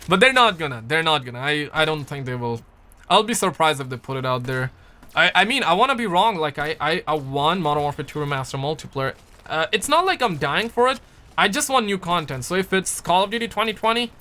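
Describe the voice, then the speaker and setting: A man's voice: a little high, pushed and bright. A young man from Pakistan, chatting casually on a livestream and answering viewer comments in a rambling, playful way that repeats words. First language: Urdu.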